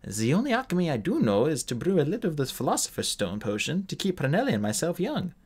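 Gentle secretive old alchemist guy, soft and easy, man reading him. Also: on the high side, huge pitch swings, fast talker, husky, voice pushed up, exaggerated voice. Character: ancient gentle male alchemist, calm and secretive.

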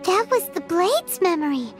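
An actress voicing a tiny, curious, tender childlike fairy companion with a squeaky voice in a video game. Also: female voice, big pitch swings, moderate pace, faint music behind. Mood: curious, moved. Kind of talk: cartoonish video game acting.

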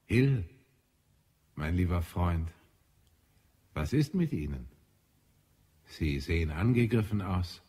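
Husky, formal chief administrator. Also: male voice, low-pitched, animated, dark tone, heavily acted. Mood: concerned, measured. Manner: formal, measured, authoritative. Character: composed, dutiful male Martian chief administrator. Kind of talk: German audio drama acting.

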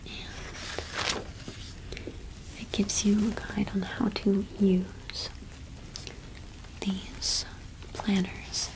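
A young woman whispering in a breathy, gentle, unhurried way, as in an ASMR roleplay. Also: mid-range voice, level tone, low-quality recording. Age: young adult. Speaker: ASMR host playing a shopkeeper.

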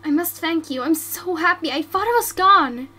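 A woman's voice: extremely high pitch, normal speed, bright, forceful tone. A woman voicing a frightened young female bandit who stammers and pleads. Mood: confused.

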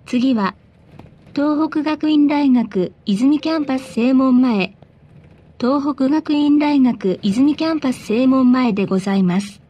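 A woman reading scripted bus announcements in a polite, formal, measured way. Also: sits high, dark tone, slightly rough.

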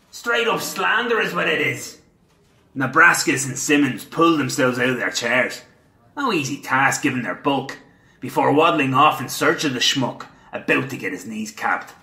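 A man playing a gruff, cynical nineteenth-century police captain in an audio drama, with a deliberate, sardonic delivery. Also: fairly high pitch, pushed and bright, roomy sound, full character voice. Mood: angry, indignant, then brooding, cynical. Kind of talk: audio drama, reading aloud and noir narration.

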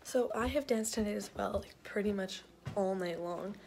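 A teenage girl from Canada vlogs through her day with a hoarse, lost voice. She talks casually to a camera held at arm's length, dropping into a breathy half-whisper at times. Her pitch sits in the middle range, with a faint hiss behind her. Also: moderate pace. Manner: low and half-whispered at home, louder and livelier on the go. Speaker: vlogger. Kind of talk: casual vlog chat. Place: bedroom, car and school. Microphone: handheld camera at arm's length.

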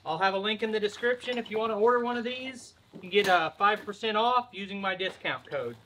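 A man's voice: high voice, a little fast, pushed and bright, a little hiss or hum, low-quality recording. A man in his thirties casually explaining a farm tool project outdoors, step by step, in a chatty vlog style. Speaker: farm vlogger. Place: outdoors at a farm, by a garage and a pond.

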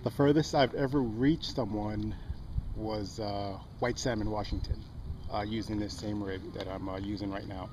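A man's voice: fairly low pitch, fairly even intonation, normal speed. A man having a casual amateur radio conversation outdoors. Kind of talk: casual ham radio chat. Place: outdoors on an open hilltop park. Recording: noisy outdoor recording, muffled, loud background noise.

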